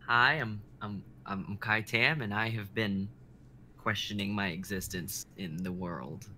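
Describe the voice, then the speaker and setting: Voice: sits low, unhurried, sharp tone. A young adult co-hosting a livestream in casual chat. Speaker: livestream co-host. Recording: background noise, livestream audio.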